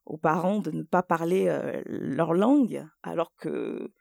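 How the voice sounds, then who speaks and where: A woman from Côte d'Ivoire co-hosts a podcast chat. Her voice is mellow with a gravelly edge and recorded dry. She speaks easily, often pausing to find her words. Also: sits low, no echo. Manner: easygoing, hesitant with fillers. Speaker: podcast co-host.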